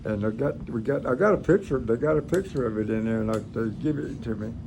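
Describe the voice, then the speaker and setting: Gravelly older man reminiscing. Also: medium pitch, fast, darkish tone. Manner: folksy, plain-spoken, rambling. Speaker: grandfather recounting his military past. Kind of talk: informal reminiscing, storytelling. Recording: noisy room, clear background noise.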